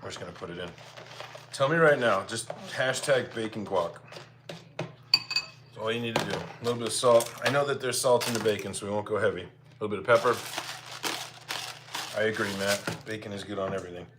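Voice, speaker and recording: A man's voice: on the low side, brisk, long pauses. A man hosting a live cooking stream, chatting informally and thinking aloud as he talks to viewers. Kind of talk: casual chat with viewers while cooking. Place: home kitchen. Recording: clear background noise, clattering dishes and cutlery.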